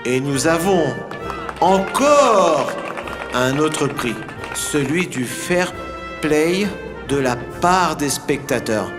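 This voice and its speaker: A man's voice: fairly high pitch, lively intonation, extremely slow. A man voicing a formal, ceremonious train announcer presenting grandly in a children's cartoon, in an echoey recording. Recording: echoey, music behind.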